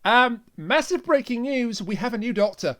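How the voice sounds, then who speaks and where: A man with a high-pitched voice hosts a review show. He talks casually, steering a panel discussion. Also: animated, moderate pace, a bit bright. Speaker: show host.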